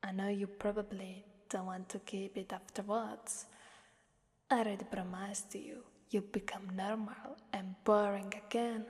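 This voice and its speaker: A woman from Italy playing a sadistic, playful female demon in a whispered ASMR roleplay, teasing and menacing in a soft, breathy voice. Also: mid-range voice, flat delivery, a little slow, no room sound. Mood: coaxing, menacing. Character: sadistic, playful female demon mistress who relishes blood.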